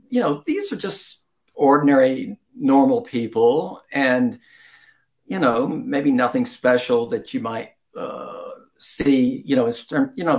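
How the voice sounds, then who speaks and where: An older man answering interview questions as a writer, in a rambling, self-deprecating, chuckling conversational way. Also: medium pitch.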